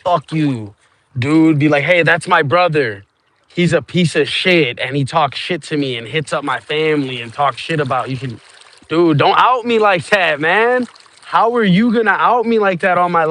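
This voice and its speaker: Cuban guy, stoned and rambling, lots of swearing, streaming live from a boat on a phone, a bit higher-voiced. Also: a bit bright. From Cuba. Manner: profane, rambling, stoned banter with viewers. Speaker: livestreamer. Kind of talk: rambling livestream chat. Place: outdoors on open water in a small boat.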